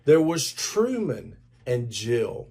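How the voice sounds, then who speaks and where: An older man reading a children's story aloud in a deliberate, expressive storytelling manner. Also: medium pitch, vocal fry, slightly rough. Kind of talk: scripted story narration read aloud to children.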